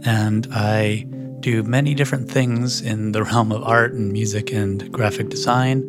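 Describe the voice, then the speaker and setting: A man's voice: on the low side, fairly even intonation, a little slow, loud music behind the voice. A man from Chicago, an artist and designer, talking at length in an interview with many fillers. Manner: chatty, lots of fillers. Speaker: guest, artist. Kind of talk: interview talk.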